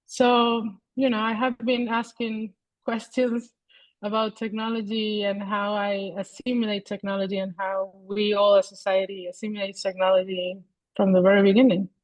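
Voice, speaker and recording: A woman in her forties with a Venezuelan accent, a guest artist talking over a video call in a thoughtful, halting way, often restarting her sentences. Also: mid-range voice, little pitch movement, no echo, low-quality recording. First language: Spanish. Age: 40s.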